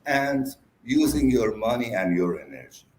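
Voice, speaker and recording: A man from Iran with an Iranian accent, a native Persian speaker giving interview answers in halting, broken English. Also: fairly low pitch, big pitch swings, darkish tone. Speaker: interview guest. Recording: roomy echo.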